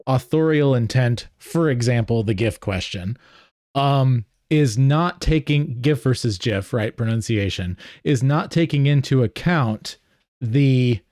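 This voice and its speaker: Guy on a podcast panel, kinda halting, picks words carefully, really dry sound. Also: medium pitch, darkish tone, dry recording. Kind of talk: casual panel discussion.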